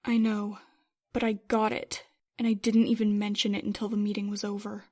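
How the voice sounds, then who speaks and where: A woman's voice: mid-range voice, little pitch movement, a little fast, a little mellow, lightly acted. A woman playing a worried, self-doubting starship pilot in a roleplay series, earnest and hesitant. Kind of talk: Minecraft roleplay web series voice acting.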